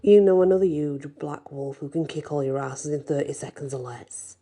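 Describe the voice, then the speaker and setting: A woman voicing a fierce, protective male alpha werewolf in a storybook, growling slowly with menace. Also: low voice, warm tone. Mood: gruff.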